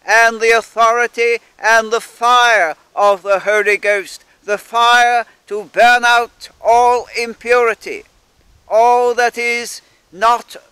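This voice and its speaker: Older guy praying slow in a churchyard, smooth bright voice, thee and thy, laptop mic. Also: high voice, no room sound. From England. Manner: solemn, old-fashioned prayer language with thee and thy. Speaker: intercessor. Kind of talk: spoken prayer and scripture reading. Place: outdoors in a churchyard. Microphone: webcam or laptop close to face.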